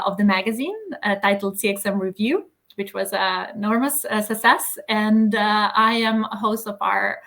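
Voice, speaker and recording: A woman from Serbia, speaking English as her second language with a Serbian accent, talking as an interview guest in a measured way with many filler pauses. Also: medium pitch, a bit bright. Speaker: guest, magazine editor. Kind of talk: casual interview chat.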